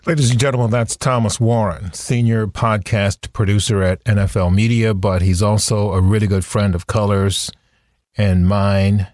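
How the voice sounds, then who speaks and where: A man hosting a podcast who thanks a guest and reads out contact details, in deliberate host phrasing. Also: lowish voice, fairly even intonation, darkish tone, dry recording, studio quality.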